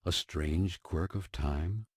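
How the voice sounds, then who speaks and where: An actor playing a theatrical storybook narrator in an animated-style game, telling a fairy tale in ornate, dramatic phrasing. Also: male voice, deep voice, slow, no room sound, clean studio sound. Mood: ominous, grave. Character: theatrical storybook narrator, deep-voiced man, grave and whimsical. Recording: music behind the voice.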